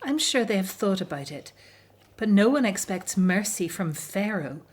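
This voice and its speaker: A woman's voice: medium pitch, very animated, normal speed, breathy edge. An actress in a radio drama playing a fearful, anguished young Hebrew mother, grave and despairing about life under slavery. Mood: resigned, worried.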